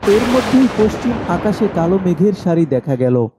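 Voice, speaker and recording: A man's voice: high voice, very animated, moderate pace, background noise. A man narrating a scripted horror story as its storyteller.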